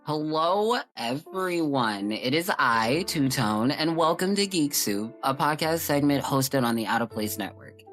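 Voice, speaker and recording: A man's voice: on the high side, animated, a little slow, few pauses, fairly bright tone, soft background music. A man co-hosting a podcast in casual chat, telling rambling anecdotes. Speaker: podcast co-host.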